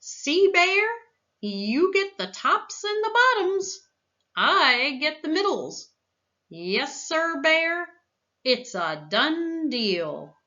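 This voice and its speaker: Woman voicing a high, chirpy trickster hare. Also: big pitch swings, extremely slow, bright, forceful tone, highs cut off, heavily acted. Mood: chirpy, triumphant. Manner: sing-song calling, coaxing. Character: clever, cheery trickster hare, male, from a picture book.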